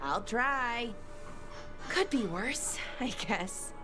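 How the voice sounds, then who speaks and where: An actress playing an earnest young elven scholar in a video game, thoughtful and a little hesitant. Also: female voice, fairly high pitch, expressive, drawn-out words, music in the background. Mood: earnest, hopeful. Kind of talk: video game character dialogue.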